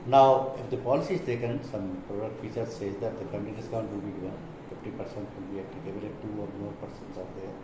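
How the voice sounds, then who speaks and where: Male trainer, Indian accent, didactic explanatory delivery, captured in a reverberant room with clear noise. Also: on the low side, fairly even intonation, fast, few pauses. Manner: didactic, reading points then explaining them. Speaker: insurance trainer. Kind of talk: explanatory product presentation.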